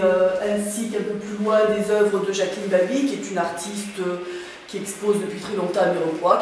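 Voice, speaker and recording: A middle-aged woman from French Guiana giving an informal interview about her gallery, speaking hesitantly with long pauses as she searches for words. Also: medium pitch, a little mellow. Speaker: artist and gallery owner being interviewed. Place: indoor art gallery with hard floor. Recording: very echoey room, distant mic, faint noise.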